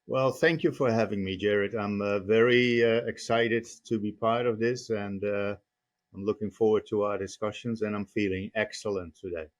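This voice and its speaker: A Dutch-accented man in his fifties, speaking in a fairly low voice. He answers interview questions at a careful, measured pace and fills his pauses with frequent "uh"s. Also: steady tone. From Netherlands. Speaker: company CEO guest. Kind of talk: podcast interview.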